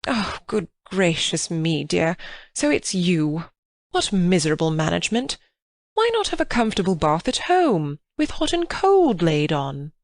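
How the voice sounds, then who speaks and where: A woman's voice: on the low side, big pitch swings, a little slow, no echo, studio quality. A woman reading aloud, voicing a genteel older mother who exclaims and frets in shock. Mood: scolding. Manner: exclamatory, fretting.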